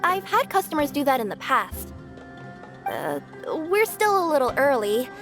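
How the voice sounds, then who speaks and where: A woman's voice: sits high, huge pitch swings, a little slow, exaggerated voice. A woman voicing an earnest, polite young cat-girl courier with an eager, easily flustered manner in a video game. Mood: cheerful. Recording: faint music behind.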